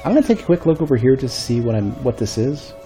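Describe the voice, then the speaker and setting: A male streamer with a deep, bass-heavy voice, a bit stuffy, chatting casually and thinking aloud while he plays. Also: big pitch swings, fast, warm tone, soft background music. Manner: rambling, thinking aloud with restarts. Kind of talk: casual gameplay commentary. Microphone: desk microphone.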